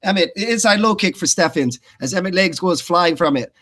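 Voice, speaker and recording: A man's voice: high-pitched, expressive, normal speed, fairly bright tone. A man doing live blow-by-blow fight commentary in short, clipped phrases.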